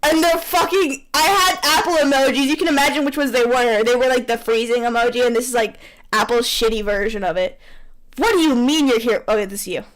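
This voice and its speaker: A woman reading fan fiction aloud in a casual, teasing, animated way on a rough microphone, bantering as she goes. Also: a little fast. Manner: animated, teasing, breaks into laughter. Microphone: poor-quality microphone. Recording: rough low-quality microphone.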